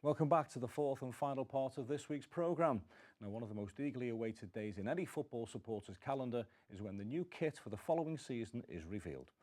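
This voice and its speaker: Male sports show host, brisk delivery, soft dark tone, easy conversational interviewing. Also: mid-range voice, lively intonation. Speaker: football club show host. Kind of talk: casual interviewing and presenting.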